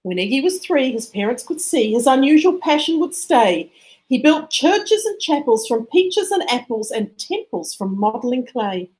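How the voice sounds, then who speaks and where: A woman reading a rhyming children's picture book aloud as scripted narration, expressive and rhythmic. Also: high voice, moderate pace, low-quality recording. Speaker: storybook reader.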